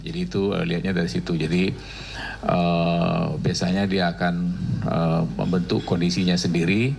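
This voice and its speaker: A man with a very low, gravelly voice explains off the cuff in an Indonesian accent. He sounds like an industry spokesman, halting between phrases. Faint noise sits behind him. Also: little pitch movement, darkish tone. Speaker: industry association representative. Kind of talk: unscripted explanation at a forum.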